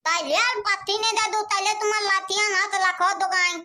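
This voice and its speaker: A performer voicing a childlike cartoon villager who haggles eagerly, with a squeaky lisping voice and a Purulia Bengali accent. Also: fairly even intonation, fast talker, pushed and bright, heavily acted. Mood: haggling. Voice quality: very high, squeaky, babyish lisped consonants. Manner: pleading, nagging village bargainer. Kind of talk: comedy cartoon dialogue.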